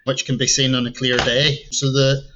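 A man's voice: medium pitch, level tone, moderate pace, sharp tone, dull audio, a little hiss or hum. A man from Northern Ireland with an Ulster Scots tinge to his accent, chatting easily as a podcast guest. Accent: Northern Irish, Ulster Scots tinge. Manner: easygoing, chuckles often. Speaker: podcast guest, tour guide. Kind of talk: casual interview chat.

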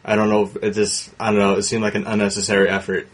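Low, husky man in casual debate. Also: completely flat delivery, fast. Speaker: panelist on a movie discussion show.

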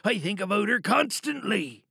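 A man's voice: high-pitched, very animated, normal speed, vocal fry, sharp tone, slightly rough, dry recording, clean studio sound, full character voice. A man performing an audio theatre monologue as an overwhelmed young student, pleading and holding in rage. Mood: anguished. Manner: pleading, strained, emotionally pent-up.

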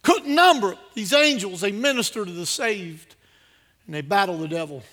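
A male preacher with a high, forceful voice delivers a sermon to his congregation. He leans on repeated phrases for emphasis. His delivery moves between quieter asides and loud, urgent declarations. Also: expressive, normal speed.

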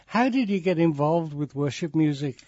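A man's voice: a little high, animated, moderate pace, darkish tone, no room sound. A man with an English accent, hosting a radio interview with inquisitive questions. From England. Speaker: interviewer host. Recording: muffled, dry radio studio sound.

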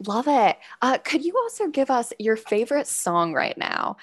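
Young woman host, very lively intonation, upbeat and chatty. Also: a little high, moderate pace. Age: young adult. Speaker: podcast host. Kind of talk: casual interview hosting.

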